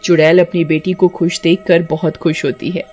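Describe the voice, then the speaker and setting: A woman's voice: lowish voice, little pitch movement, moderate pace, darkish tone. Woman narrating a story over faint music. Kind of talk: scripted children's story narration.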